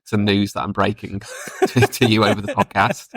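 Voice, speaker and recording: Man, thirties, slightly low voice, casual co-host chat, deadpan humour, dry recording. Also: normal speed, dry recording. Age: 30s. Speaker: returning podcast co-host. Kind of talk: casual bantering podcast chat. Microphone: online call.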